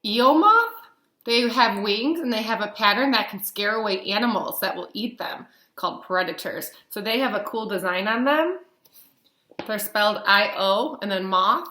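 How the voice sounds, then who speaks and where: A woman's voice: medium pitch, bright, forceful tone. A woman in her thirties reading aloud to young children as a teacher, asking simple questions and stressing key words. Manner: simple explanations with frequent questions to listeners and stressed letter names. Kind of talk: read-along lesson for children. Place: indoors, small plain-walled room. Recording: roomy, echoey webcam sound.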